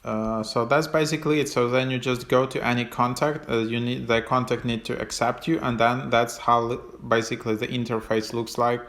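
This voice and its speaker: A man giving a casual, unscripted app walkthrough as a tutorial host, in a hesitant, halting way with trailing phrases. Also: medium pitch, steady tone, fairly bright tone.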